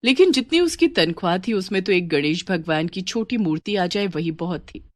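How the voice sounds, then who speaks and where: A woman's voice: on the low side, animated, moderate pace, no echo. A woman narrating a scripted story as its storyteller.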